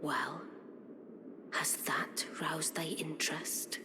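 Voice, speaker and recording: Haughty witch, fantasy game, deep soft female voice, unhurried, breathy, archaic and cool. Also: steady tone, whispered. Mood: coolly intrigued. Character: aloof, regal witch with archaic speech. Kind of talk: scripted fantasy game dialogue. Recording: clear ambient noise behind.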